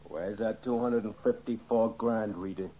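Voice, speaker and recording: An actor playing a surly, distrustful male gangster who talks in gruff slang in an old-time radio drama. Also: on the low side, fairly even intonation, normal speed, dark tone, close to an everyday voice. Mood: scornful, accusing. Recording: muffled, noisy old radio recording.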